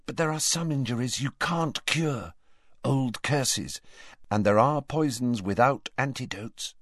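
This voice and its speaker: An inquisitive teenage schoolgirl in an audiobook, played by a man, with a lifted pitch. She is earnest, insistent and a little bossy. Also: unhurried, fairly bright tone, no room sound, clean studio sound. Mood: concerned. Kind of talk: audiobook character voice.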